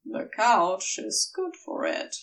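A woman voicing a laid-back male demon who talks casually, with soft, fond teasing. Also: medium pitch, huge pitch swings, slow pace, vocal fry, far from the mic, exaggerated voice.

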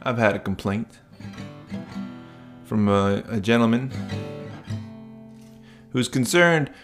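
A man's voice: medium pitch, lively intonation, slow pace. A man voicing a prim schoolteacher woman who scolds mildly, guitar playing behind. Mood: stern.

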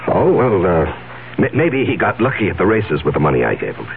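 A man's voice: sits low, huge pitch swings, fairly quick, creaky, exaggerated voice. An actor playing a nervous, evasive businessman in a 1970s radio drama, stammering and hesitant as he protests. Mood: evasive, flustered. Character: nervous, evasive, well-off businessman hiding a secret. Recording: tinny, noisy old radio broadcast.

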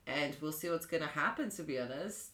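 A woman's voice: low voice, animated, fairly quick, fairly bright tone. A woman hosting a casual, chatty conversational interview in an informal way. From outside the UK. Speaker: podcast host. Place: living room. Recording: echoey room.

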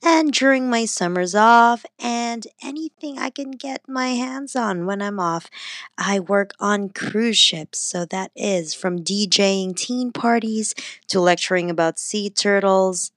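A woman's voice: mid-range voice, measured pace, fairly bright tone, no room sound, clean studio sound. A young woman from the Philippines with a slight Filipino accent, hosting her own podcast in a chatty, upbeat way. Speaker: podcast host. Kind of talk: casual solo podcast chat.

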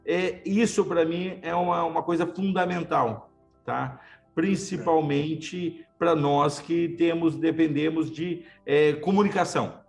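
A man speaks in a high voice, thinking aloud in short, restarting phrases. He is a lawyer in a relaxed conversation, and soft music plays faintly behind him. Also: a little slow, creaky. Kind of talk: casual conversation.

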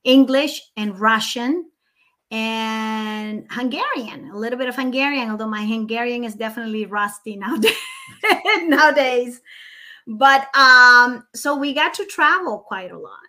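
A woman from Nicaragua whose first language is Spanish speaks as a podcast guest. Her voice is bright and fairly high, and her manner is friendly and chatty, with ums and easy laughter. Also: unhurried. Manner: friendly, laughs easily, filler-heavy. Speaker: guest, mortgage consultant. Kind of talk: casual podcast interview.

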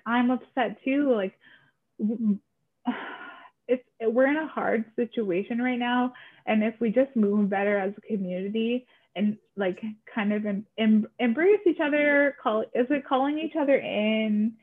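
A woman co-hosting a podcast, speaking earnestly and with feeling, filling her talk with "like". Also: on the high side. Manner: impassioned, many "like" fillers. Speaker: podcast co-host. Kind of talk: earnest casual conversation. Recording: tinny phone-like line.

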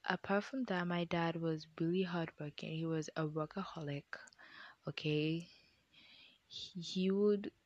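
A young woman vlogger with a deep, low-set voice talks unhurriedly and confidingly to her viewers, sliding now and then into hushed, whispered asides. The sound is close and dry, with no room around it. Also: no echo, muffled recording. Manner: chatty, keeps addressing viewers as guys, drops into hushed whispered asides. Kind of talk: personal storytelling to viewers.

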